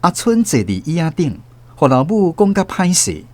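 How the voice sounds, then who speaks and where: A man narrating a radio drama as host, telling the story with expressive delivery. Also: fairly high pitch, moderate pace, no room sound. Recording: music playing under the voice.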